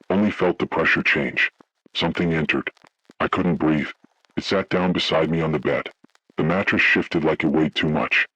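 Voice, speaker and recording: A man voicing a haunted, grave survivor, narrating a scripted first-person horror story in slow, deliberate, ominous phrases with a gravelly, husky voice. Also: extremely low pitch, a bit bright, no room sound, lo-fi sound, full character voice. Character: haunted man recounting childhood motel horror, grave, dread-filled.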